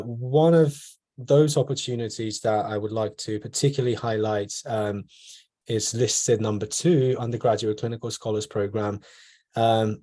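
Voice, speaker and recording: A man in his twenties from Poland, a medical student mentoring on a video call panel, speaking in a measured way with frequent ums and you-knows. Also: mid-range voice, darkish tone. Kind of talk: online panel talk.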